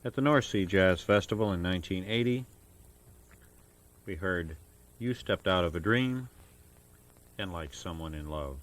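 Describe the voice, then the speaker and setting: A man working as a radio DJ, introducing jazz records in a measured, formal announcing style with careful enunciation of names and dates. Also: sits low. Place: radio studio. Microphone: broadcast studio microphone.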